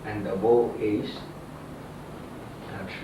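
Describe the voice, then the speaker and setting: A man with an Indian accent giving a religious discourse as a swami at a ceremony, teaching in halting, simply worded phrases. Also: lowish voice, little pitch movement, narrow, tinny audio. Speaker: swami, spiritual teacher officiating a wedding. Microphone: far from the speaker. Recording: very echoey room, distant mic, noisy.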